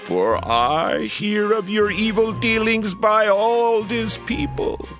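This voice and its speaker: A frail, aged priest speaks in a high, extremely slow and halting voice, like a grieving father reproving his sons. It is a dramatized scripture reading, with clear music under the words. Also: expressive, a bit bright, heavily acted. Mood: grieved reproach. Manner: slow, halting, drawn-out delivery.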